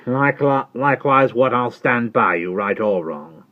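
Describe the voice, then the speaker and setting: A man playing a slow, pompous servant. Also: medium pitch, lively intonation, narrow, tinny audio, voice pushed up, close to an everyday voice. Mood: solemn. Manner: slow, pompous, preachy and ungrammatical. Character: solemn trembling Cockney manservant, uneducated.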